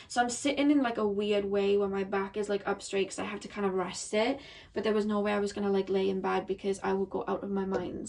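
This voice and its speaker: A woman with a croaky, slightly shaky voice giving a chatty, rambling tarot reading to her viewers. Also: mid-range voice, distant mic. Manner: chatty, rambling with many ums and likes. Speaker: tarot reader. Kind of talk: pick-a-card tarot reading. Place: seated behind a camera at home.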